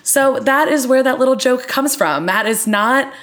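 A woman's voice: high voice, normal speed, fairly bright tone. A young American woman hosting a podcast in casual, conversational chat with playfully formal turns of phrase. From Florida, US. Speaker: podcast host. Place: in a studio.

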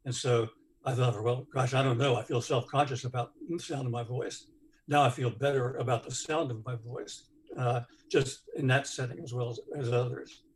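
Hesitant bass-voiced man sharing. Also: a little hiss or hum, poor audio. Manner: hesitant, many fillers. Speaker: group participant sharing. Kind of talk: casual personal sharing.

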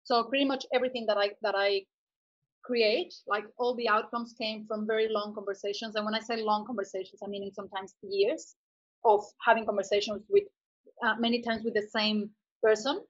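A woman from Mexico, speaking thoughtfully in an interview and often pausing with fillers as she searches for words. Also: medium pitch, little pitch movement, lo-fi sound. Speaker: artist guest. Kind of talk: conversational interview.

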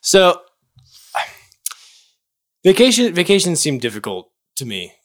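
A man's voice: sits high, wildly swooping pitch, a little slow. An American man from the Chicago area co-hosting a podcast, explaining his points in casual conversation and often restarting as he goes. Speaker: podcast co-host.

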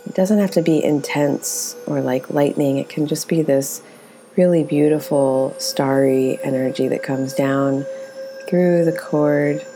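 Very low-voiced woman guiding a meditation. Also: fairly even intonation, a little hiss or hum. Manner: gentle, soothing, unhurried instruction. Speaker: guided meditation teacher. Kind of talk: guided meditation narration.

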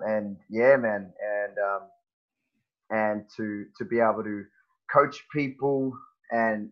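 Kiwi man in his thirties, podcast guest, halting laid-back talker full of "man" and "bro", muffled lo-fi recording. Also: mid-range voice. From New Zealand. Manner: halting, many fillers and restarts, says "man" and "bro". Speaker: guest, personal trainer. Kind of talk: casual podcast chat.

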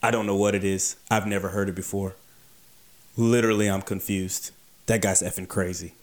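A man casually telling a long workplace anecdote, acting out the dialogue with animated energy. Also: deep voice, moderate pace. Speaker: beer delivery storyteller.

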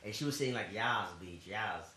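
A man's voice: on the low side, animated, moderate pace, pushed and bright. A young man co-hosting a podcast in casual banter, loose and laughing, trailing off with lots of fillers. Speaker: podcast co-host. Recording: roomy with faint hiss.

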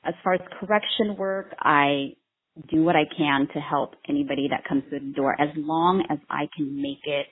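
Low husky woman on a tinny line. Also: fairly even intonation, moderate pace. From Vietnam. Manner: warm, earnest, with many ums and restarts. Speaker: microblading artist and trainer. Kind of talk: casual interview answers.

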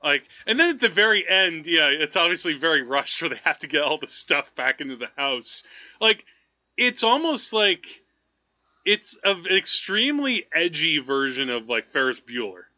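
Bright, higher-voiced man, chatting casually. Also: sing-song, moderate pace, lo-fi sound. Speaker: film podcast host. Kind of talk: casual film discussion.

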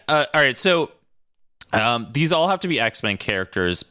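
A man's voice: fairly high pitch, measured pace, no room sound, clean studio sound. A man co-hosting a casual podcast, rambling and laughing as he lays out game rules. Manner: animated, rambling, laughs often. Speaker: podcast co-host.

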